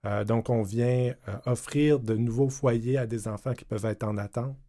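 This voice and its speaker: Mellow, measured man. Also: mid-range voice, fairly even intonation. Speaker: community center director, panel guest. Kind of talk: round table discussion.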